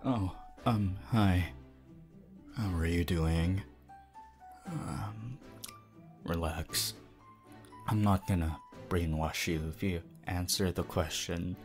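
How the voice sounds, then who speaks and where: A man voicing a shy, guarded teenage anime hero student in ASMR roleplay, soft and hesitant. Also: deep voice, slow pace, long pauses, faint music behind. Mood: awkward, bashful.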